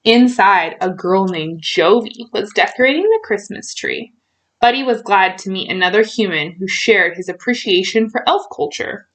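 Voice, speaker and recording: A woman reading a children's storybook aloud in an expressive way, stretching out key words for emphasis. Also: medium pitch, moderate pace.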